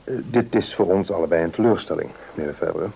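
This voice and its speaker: A hopeful husband in a Dutch radio play. His voice is very low, dark and somewhat rough. He is courteous and a bit hesitant, with a Netherlands Dutch accent. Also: fast, full character voice, rough recording. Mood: earnest, hesitant. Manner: polite, hesitant. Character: hopeful, polite married man seeking to adopt.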